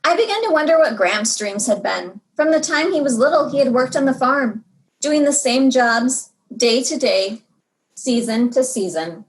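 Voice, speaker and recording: Female children's storyteller, higher register, expressive read-aloud with dramatic emphasis and drawn-out words. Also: rough recording.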